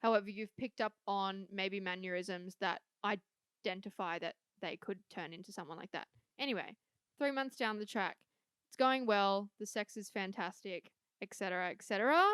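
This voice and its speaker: A woman's voice: mid-range voice, normal speed, fairly bright tone, smooth, no echo. A young woman co-hosting a casual podcast, chatty, swearing freely and using lots of fillers. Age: young adult. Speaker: podcast co-host. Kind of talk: casual chat.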